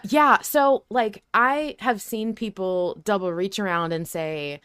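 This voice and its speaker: A woman's voice: medium pitch, slow, a bit bright, smooth, no echo, studio quality. A woman hosting a podcast, talking casually and analytically, reflective as she thinks aloud. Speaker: podcast host.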